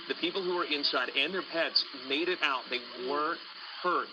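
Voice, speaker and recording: A man's voice: fairly high pitch, normal speed. A man presenting live news as a studio anchor, partly ad-libbing. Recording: faint noise on broadcast audio.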